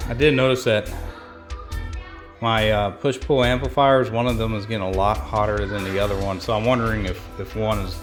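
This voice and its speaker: A man's voice: fairly low pitch, fairly even intonation, a little fast, over music. A man hosting a repair vlog, talking casually and unscripted in a rambling, explanatory way. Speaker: host of a radio repair channel.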